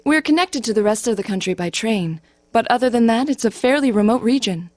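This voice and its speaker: A proud, forthright young swordswoman of noble birth. Her voice is fairly high and formally composed, recorded dry over faint game music. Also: animated, fairly quick, darkish tone. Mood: proud, firm. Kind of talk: video game voice acting.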